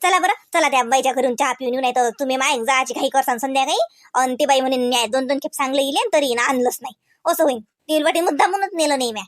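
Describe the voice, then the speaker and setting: A woman playing a chatty, dismissive village mother-in-law in a comedy cartoon, speaking with a rural Maharashtra accent in a brisk, insistent, reassuring way. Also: sits high, dry recording, clean studio sound, close to an everyday voice. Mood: chatty, coaxing. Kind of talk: Marathi comedy cartoon acting.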